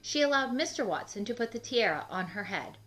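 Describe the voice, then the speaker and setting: Bright-voiced woman reading a children's storybook. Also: fairly high pitch, fairly quick. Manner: expressive, addresses children directly. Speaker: children's book read-aloud narrator. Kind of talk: storybook narration with questions to young listeners. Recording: music under the voice. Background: music.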